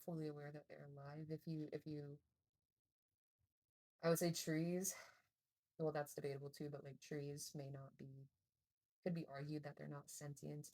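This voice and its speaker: A woman co-hosting a casual podcast chat, sharing thoughtful opinions in a relaxed, hedging way. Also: sits low, vocal fry, poor audio. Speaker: podcast co-host.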